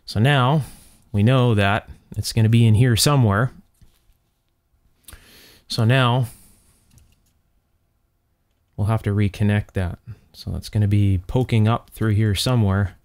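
A man's voice: lowish voice, fairly even intonation, unhurried, darkish tone. A man hosting a hands-on electronics repair demonstration, explaining methodically step by step as he works. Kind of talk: hands-on repair demonstration, talking while working.

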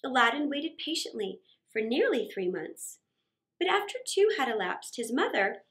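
A middle-aged woman reading a story aloud for children, expressive, with theatrical pauses and emphasis. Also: on the high side. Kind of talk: dramatic read-aloud story narration for children. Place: small indoor set.

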